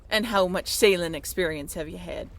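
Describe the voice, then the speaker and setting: A woman's voice: on the low side, normal speed, voice pushed down, natural voice. A woman voicing a brash, commanding pirate deck boss who barks at the crew in an audio drama. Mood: proud, challenging. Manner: swaggering, barking orders to the crew. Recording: clear background noise.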